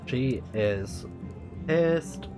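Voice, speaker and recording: A man's voice: mid-range voice, expressive, drawn-out words. A man giving casual live let's play commentary on a video game, musing in short reactions. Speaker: game streamer. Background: game music.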